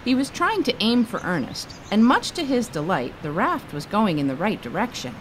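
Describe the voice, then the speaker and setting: A woman author reading her children's book aloud in an expressive storytelling style. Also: medium pitch, normal speed, a little hiss or hum, rough recording.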